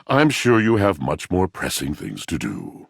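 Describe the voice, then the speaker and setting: Super deep gloomy washing machine for a game, raspy, grandiose poet, really swoops around dramatically. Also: male voice, wildly swooping pitch, unhurried, no echo, clean studio sound, full character voice. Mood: gloomy, courteous. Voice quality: gravelly, rumbling voice. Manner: theatrical, florid, pompous, lamenting diction. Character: brooding, melancholy male washing machine, a grandiose wounded poet. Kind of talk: video game character voice acting.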